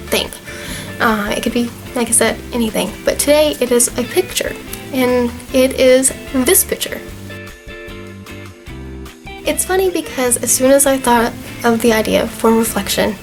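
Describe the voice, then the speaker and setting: A young woman in her twenties with a slightly hoarse, fading voice, talking to camera in a chatty, earnest way with plenty of fillers. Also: on the high side. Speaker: vlogger. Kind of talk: casual talk to camera.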